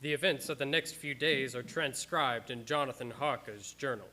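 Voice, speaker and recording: An actor playing a sober vampire hunter, narrating a radio play in a measured, descriptive way. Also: male voice, mid-range voice, sharp tone, slight background noise, lightly acted. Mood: solemn.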